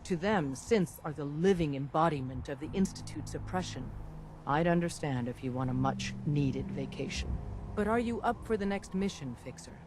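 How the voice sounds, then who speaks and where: Higher-voiced, solemn, eloquent resistance man , acted. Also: animated, noisy background, close to an everyday voice. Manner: measured, florid. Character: eloquent, solemn old-timer male resistance operative. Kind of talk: game cutscene acting. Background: music.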